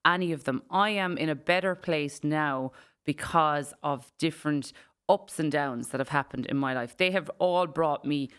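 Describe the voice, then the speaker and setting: A woman's voice: low-pitched, moderate pace, a bit bright, clear, resonant tone. A woman in her forties with an Irish accent, talking frankly and casually as a podcast guest. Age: 40s. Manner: chatty, frank, plain-spoken. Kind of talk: casual chat.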